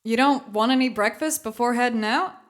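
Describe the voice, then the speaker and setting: A woman playing a middle-aged ewe, a mellow hippie mother who teases in a laid-back way, in an audio drama. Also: on the high side, brisk, close to an everyday voice. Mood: concerned. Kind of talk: audio drama character acting.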